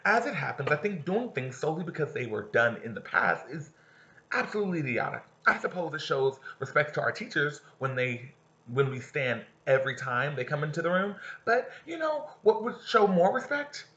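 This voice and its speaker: A man's voice: fairly high pitch, very animated, moderate pace, pushed and bright, muffled recording. A man who teaches, reading a novel aloud to his students and stopping to explain passages and ask them questions.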